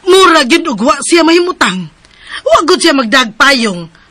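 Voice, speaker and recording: An actress playing a fretful, worried aunt in a radio drama. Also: female voice, sits high, very animated, unhurried, sharp tone, dry recording, exaggerated voice. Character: worried middle-aged aunt, fretful and scolding.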